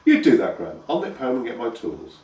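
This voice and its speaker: A man's voice: mid-range voice, huge pitch swings, fairly quick, darkish tone. A man doing a dry, put-upon teenage peasant lad, echoey room, heard from a distance. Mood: weary. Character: mild, long-suffering teenage peasant boy.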